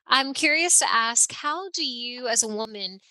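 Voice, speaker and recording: Lady cohosting a podcast, bright sharp voice, casual interview chat, really dry recording. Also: fairly high pitch, lively intonation, unhurried, dry recording. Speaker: podcast co-host.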